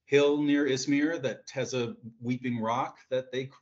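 A man's voice: medium pitch, steady tone, measured pace. Hesitant man from Tennessee on a call. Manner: hesitant, with frequent uhs and ums. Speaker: audience member asking a question. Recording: dull lo-fi call audio.

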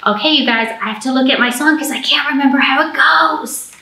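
A young American woman, a children's librarian, presenting storytime to small children in an animated, direct way, recorded indoors. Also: high voice, fairly quick. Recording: roomy, echoing indoor sound.

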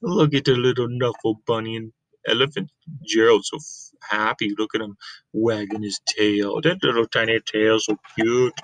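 A man's voice: on the low side, steady tone, normal speed, fairly bright tone, no room sound, rough recording. A man in his thirties reading a picture book aloud to children, chatting to them as a teacher.

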